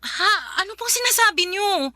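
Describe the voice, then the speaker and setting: High-voiced, put-upon daughter. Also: female voice, lively intonation, normal speed, sharp tone, heavily acted. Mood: aggrieved, pleading. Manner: pleading, polite, protesting. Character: weary, put-upon working daughter, respectful but aggrieved. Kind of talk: Tagalog radio drama acting.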